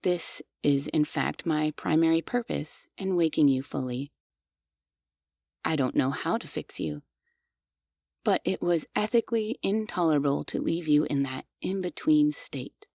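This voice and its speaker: A devoted, gently obsessive maid robot, voiced by a woman in an ASMR roleplay speaks in a low, slightly gravelly tone. Her measured, unhurried phrasing stays soft and close. The sound is dry, with no room around it. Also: dry recording, full character voice. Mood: softly apologetic. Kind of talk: scripted ASMR audio roleplay.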